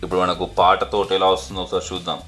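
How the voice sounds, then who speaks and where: Quick low-voiced man reviewing products. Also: completely flat delivery, a bit bright, soft background music. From India. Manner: explanatory, repetitive, code-mixing English terms. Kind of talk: casual unboxing and demo narration.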